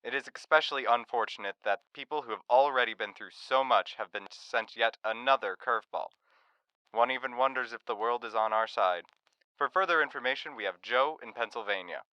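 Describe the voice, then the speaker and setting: A man's voice: mid-range voice, fairly quick, bright, forceful tone, clear, resonant tone, dry recording, close to an everyday voice, low-quality recording. A man playing a sombre old-time radio news anchor in a radio play. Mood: grave.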